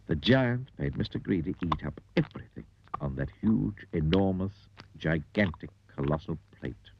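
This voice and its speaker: A man narrating an animated children's story, theatrical, with drawn-out emphasis on key words. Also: deep voice, creaky, slight background noise. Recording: dull lo-fi audio with music. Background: music.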